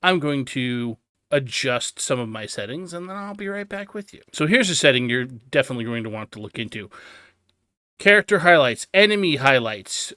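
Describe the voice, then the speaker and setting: A man's voice: medium pitch, animated, moderate pace, sharp tone, no echo, clean studio sound. A man hosting a gaming video, giving instructional tutorial commentary and stressing his key advice.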